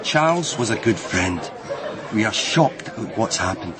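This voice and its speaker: An actor playing a well-connected, guarded businessman, measured and self-important, in a radio crime drama. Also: lowish voice, lively intonation, a crowd in the background. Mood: solemn, guarded.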